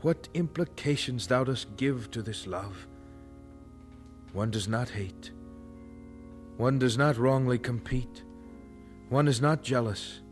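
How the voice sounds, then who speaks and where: A man's voice: medium pitch, slow pace, long pauses, faint music behind, natural voice. An actor playing a devout young male student, thoughtful and intense, with formal, earnest phrasing in an audio drama. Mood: fervent, prayerful. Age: young adult.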